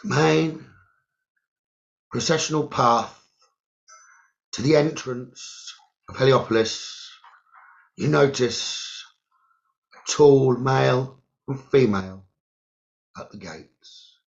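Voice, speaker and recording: A man leading a guided meditation in short, halting phrases with long pauses and a creaky voice. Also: mid-range voice. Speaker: guided meditation leader, psychic medium. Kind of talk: slow guided meditation narration. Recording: dull, lo-fi sound.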